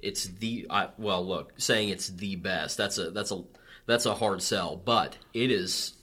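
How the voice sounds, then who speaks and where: Deep-voiced man, bright and easygoing. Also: a little slow, breathy edge. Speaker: guest. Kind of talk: casual chat.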